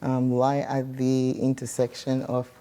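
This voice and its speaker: A man from Ghana, a guest scientist explaining his research in measured speech with many pauses. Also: mid-range voice, completely flat delivery, mellow.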